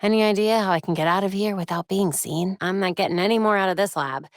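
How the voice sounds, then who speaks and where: A woman's voice: mid-range voice, quick pace, no room sound, clean studio sound, close to an everyday voice. An actress voicing a witty, determined young female vigilante and detective in scripted video game lines, with a wry, earnest delivery. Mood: wry, focused. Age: young adult.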